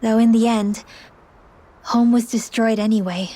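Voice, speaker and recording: Caring young girl companion in a fantasy game, soft and a bit high. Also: fairly even intonation, unhurried. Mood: wistful. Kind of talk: dubbed anime-style game voice acting.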